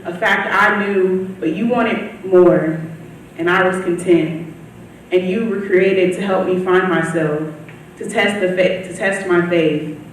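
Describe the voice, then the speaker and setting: A teenage girl, a high school student, reciting her own poem at a reading. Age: teens. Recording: very echoey room, distant mic, faint noise.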